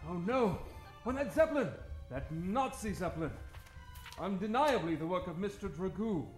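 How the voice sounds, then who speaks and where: A famed gentleman explorer from an old radio adventure. He is hearty, debonair and grandly pompous, in a high register. The room rings a little, with faint music behind. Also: sing-song, moderate pace. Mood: resolute. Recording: roomy stage sound, faint music behind.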